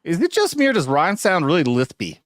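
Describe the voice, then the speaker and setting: Slightly high-voiced guy cussing and laughing thru a livestream, dry close mic. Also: huge pitch swings, a little fast, no room sound. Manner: profane, rambling, laughs often. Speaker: co-host. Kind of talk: casual crude banter.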